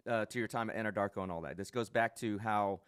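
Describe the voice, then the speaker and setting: A chummy male podcast host with a smooth, lowish voice, the sort heard jumping in to introduce an old friend on a long-form business show. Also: fast talker, no room sound, clean studio sound. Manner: interjecting, chummy, informal slang. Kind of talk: casual, conversational interviewing.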